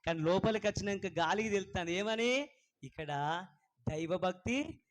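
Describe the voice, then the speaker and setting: A man's voice: sits high, lively intonation, normal speed. A man hosting a children's puppet show, encouraging and instructive, often putting questions to the kids. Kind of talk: animated children's ministry presenting.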